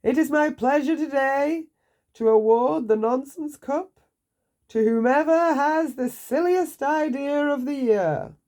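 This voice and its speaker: A woman's voice: sits high, slow pace, a little mellow, voice pushed up. A woman voicing a pompous storybook king who makes grand, ceremonial public announcements. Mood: grandly ceremonial. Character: pompous ceremonial male king of a nonsense land. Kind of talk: storybook character voice.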